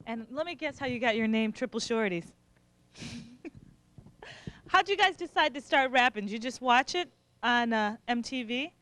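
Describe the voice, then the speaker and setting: A woman's voice: fairly high pitch, normal speed, long pauses, a bit bright, no echo. A woman hosting a youth talk show, addressing guests and studio audience. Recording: music behind, 1990s VHS tape.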